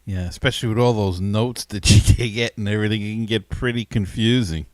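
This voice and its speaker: A man hosting a casual sports interview, forming his questions a little haltingly. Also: on the low side, a little mellow, no echo. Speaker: podcast host, interviewer.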